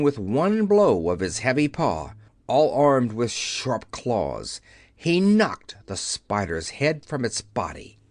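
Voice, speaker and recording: A man reads a children's story aloud as its narrator. His medium-pitched voice moves through expressive rises and falls, and the recording is close and dry. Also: dry recording. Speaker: audiobook narrator.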